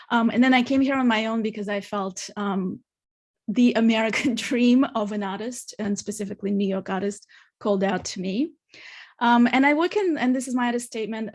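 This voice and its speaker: A woman in her thirties from Ukraine, raised in Australia, speaking as an artist on a conference panel, informal with many fillers. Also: medium pitch. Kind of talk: online conference presentation.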